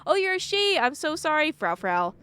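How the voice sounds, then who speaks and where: A woman's voice: high-pitched, very animated, moderate pace, sharp tone. A woman streaming a game, chatting casually and reacting informally while reading dialogue aloud.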